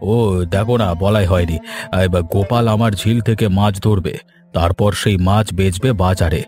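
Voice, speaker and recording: Man acting mellow, low-voiced village landowner. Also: steady tone, soft background music, lightly acted. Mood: calm, advising. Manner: measured, advising. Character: well-off, easygoing village landowner, shrewd patron. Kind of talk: scripted cartoon dialogue.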